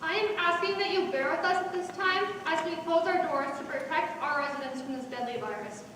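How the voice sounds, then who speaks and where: A woman's voice: very high-pitched, brisk, a bit bright. A woman on stage playing an earnest, caring nursing-home worker. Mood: earnest appeal. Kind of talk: stage monologue in a one-act play. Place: on stage in a large hall. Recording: echoey hall from far off, faint noise.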